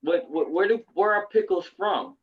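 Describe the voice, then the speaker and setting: Lively host with a dull lo-fi sound. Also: mid-range voice, moderate pace, breathy edge, distant mic. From United States. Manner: animated, stammering repeats. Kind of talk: casual chat.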